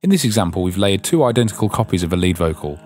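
A man narrates a tutorial in a scripted, instructional way. His voice sits fairly low, and some music plays behind him. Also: animated, quick pace, a little mellow.

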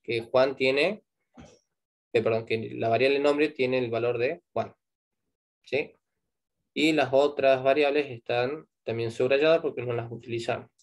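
A man's voice: medium pitch, fairly even intonation, a little slow. A man with an Argentine Spanish accent teaching a live online programming class, explaining step by step and checking in with "¿sí?" after each point.

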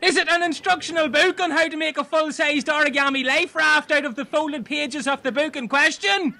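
An Irishman in excitable comic banter, swearing freely. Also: very high voice, brisk, gravelly. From Ireland. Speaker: comedy podcast cast member. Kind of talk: comic banter and script reading.

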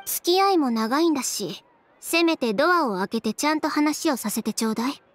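A woman's voice: high-pitched, lively intonation. A woman voicing a proud, cool-headed young fashion designer who speaks bluntly and with self-assurance in an anime game. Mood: impatient. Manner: direct, brisk, self-assured. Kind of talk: Japanese anime game voice acting.

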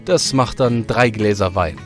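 Man acting a deep-voiced, mocking tavern innkeeper. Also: unhurried, faint music behind, natural voice. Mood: matter-of-fact. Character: gruff, hard-drinking male innkeeper, faintly mocking. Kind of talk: fantasy audio drama acting.

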